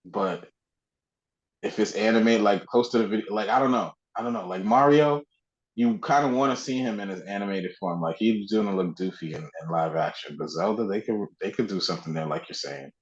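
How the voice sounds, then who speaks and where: A man's voice: lowish voice, a little fast, reverberant. A man co-hosting a podcast in casual chat, recorded with a slightly dull, lo-fi sound. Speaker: podcast co-host. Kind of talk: casual podcast chat about pop culture.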